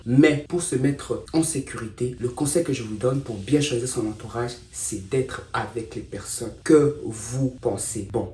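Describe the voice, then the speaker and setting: A man's voice: medium pitch, darkish tone. Emphatic man, roomy distant sound. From Congo. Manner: emphatic, addresses viewers directly as "guys", repeats for stress. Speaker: content creator. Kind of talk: motivational self-help talk. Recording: roomy and distant with faint noise.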